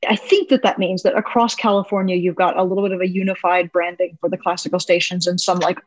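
Friendly podcast host lady, mid-pitch, keeps saying like, no room sound. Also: no echo, poor audio. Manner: self-deprecating, chatty, lots of "like". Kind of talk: casual interview chat.

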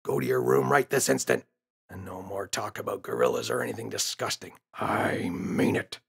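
A man's voice: fairly low pitch, normal speed, vocal fry, fairly bright tone, husky, exaggerated voice. Man playing a stern, scolding storybook dad. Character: gruff, stern, irritated father.

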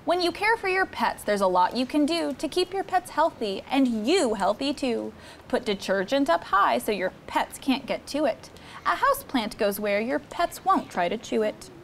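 A young woman hosting a children's story time, reading aloud in an expressive, sing-song way and chatting with the kids. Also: sits high, moderate pace, vocal fry, a little hiss or hum. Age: young adult. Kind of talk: animated read-aloud to children, with chat. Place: indoor studio set with children seated on a rug.

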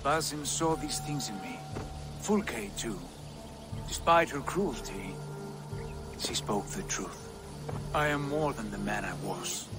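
A man's voice: medium pitch, lively intonation, takes it slowly, pauses often, over music. An actor playing a haughty, wounded Viking lord who believes he is a god, speaking solemnly and grandly in a dramatic video game. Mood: solemn, fervent.

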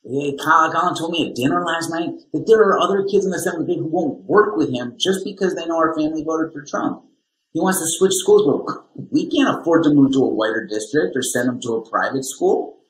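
A man's voice: on the high side, lively intonation, fairly quick, close to an everyday voice. A man in his thirties playing an aggrieved, defensive father at a dramatized school meeting on a video call. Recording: echoey room on video call.

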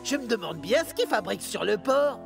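A man's voice: high-pitched, big pitch swings, fairly quick, gravelly, over music, exaggerated voice. A man voicing a mischievous young male tank engine in a children's cartoon, teasing and giggly. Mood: mocking glee.

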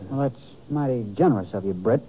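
A man's voice: medium pitch, lively intonation, fast talker, lightly acted. Man playing a terse newspaperman on muffled old radio. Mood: resigned. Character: proud, self-deprecating small-town newspaperman in love. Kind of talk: 1950s western radio drama acting. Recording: muffled, slightly hissy 1950s radio recording.

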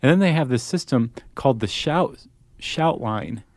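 A man's voice: mid-range voice, lively intonation, moderate pace, no room sound. An American man co-hosting a podcast in casual, conversational style. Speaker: podcast co-host.

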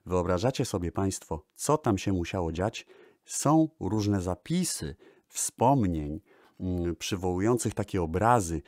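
A man presenting music history in a radio style, speaking deliberately with pauses and hesitations. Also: low voice, lively intonation, a little mellow, no room sound, studio quality.

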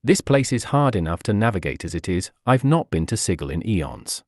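A man's voice: on the low side, big pitch swings, a little fast, darkish tone, dry recording, studio quality. Man putting on a rambling old wizard. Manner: rambling, forgetful, scolding. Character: doddering, wheezing old male wizard.

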